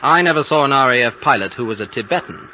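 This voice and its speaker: An actor playing an impetuous, sarcastic young British vice consul in a radio drama, agitated and clipped. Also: male voice, mid-range voice, expressive, fast, bright, forceful tone. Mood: alarmed. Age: young adult. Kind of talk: 1950s radio drama acting. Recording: noisy, muffled old radio broadcast.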